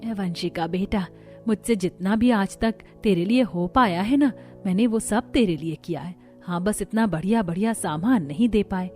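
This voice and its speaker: A woman's voice: mid-range voice, moderate pace, darkish tone, faint music behind, lightly acted. A woman voicing a poor, doting middle-aged mother in a cartoon, tender and fussing. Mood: apologetic, tender.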